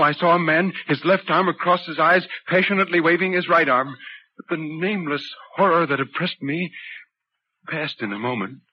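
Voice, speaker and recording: A dull, noisy old radio recording carries an actor playing a troubled male reporter. He tells his ghost story with literary, deliberate drama in a mid-range voice, in the manner of 1940s radio. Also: medium pitch, natural voice.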